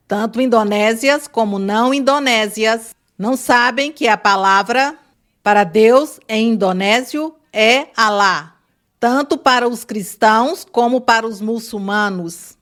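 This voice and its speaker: A woman interpreter reading a translated question aloud in a steady, measured way. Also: on the high side.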